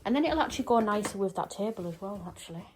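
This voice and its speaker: A woman's voice: mid-range voice, animated, racing, a little mellow, a little hiss or hum. A young woman, chatting casually to camera in a home vlog, rambling with lots of fillers. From North Yorkshire, England. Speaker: vlogger. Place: at home, indoors.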